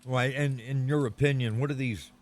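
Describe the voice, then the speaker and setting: An actor playing an eager, courteous radio commentator in a 1930s style radio drama, speaking in a formal announcer's manner. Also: male voice, mid-range voice, steady tone, moderate pace, slight background noise, natural voice. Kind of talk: 1930s radio drama, live news interview.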